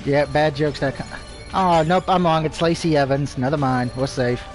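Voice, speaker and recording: A man's voice: a little high, fairly even intonation, normal speed, soft background music. A man casually commentating on a live game stream, rambling and swearing, with opinions on everything and a speech impediment.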